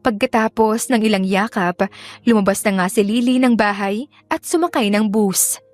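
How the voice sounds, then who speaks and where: A woman's voice: medium pitch, normal speed, a little mellow, soft background music. A woman narrator reading scripted romantic fiction as dramatic storytelling. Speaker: audiobook narrator.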